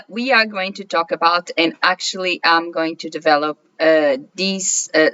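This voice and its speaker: Woman host, unhurried and halting, slightly hoarse, lower-pitched voice, dull lo-fi recording. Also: bright, forceful tone. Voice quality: clears throat now and then. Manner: halting, many ums and uhs. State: slightly hoarse from allergy, coughing. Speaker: host of a weekly online meeting for translators. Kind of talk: casual solo talk to camera. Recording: dull lo-fi sound.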